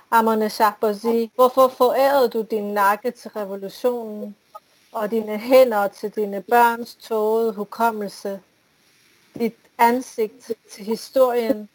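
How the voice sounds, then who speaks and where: A woman reading a poem aloud in a measured, solemn way. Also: medium pitch, a little mellow, no room sound, poor audio. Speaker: poetry reader. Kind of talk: poetry reading.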